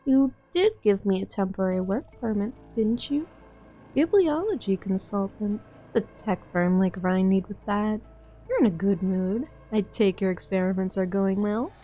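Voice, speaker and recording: A woman's voice: mid-range voice, lively intonation, moderate pace, dull audio, faint music behind, lightly acted. A woman playing a cool, observant consultant with an understated delivery, in a fan-dubbed game drama. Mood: cool, wry. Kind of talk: scripted fan-dub game drama acting.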